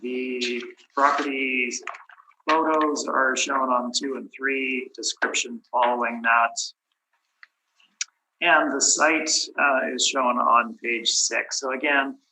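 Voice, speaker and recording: A hoarse man losing his voice, presenting his side at a property tax hearing in a methodical way, often clearing his throat. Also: mid-range voice, fairly even intonation, slow, sharp tone, a little hiss or hum, low-quality recording. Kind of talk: formal presentation with many fillers. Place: municipal committee room.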